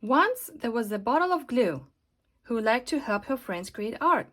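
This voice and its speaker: A woman narrating a children's picture book aloud in an expressive, deliberate way. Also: on the high side, some chatter behind, a little hiss or hum. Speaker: storybook reader and host. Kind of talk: scripted children's storybook narration.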